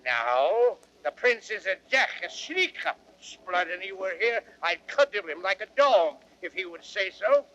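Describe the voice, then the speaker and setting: A roguish old fat knight, blustering and boastful. His voice is high and bright, swinging widely as he declaims in old radio Shakespeare style. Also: sing-song, unhurried, few pauses, muffled recording, slight background noise, full character voice. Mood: indignant bluster. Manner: blustering, theatrical, swooping delivery.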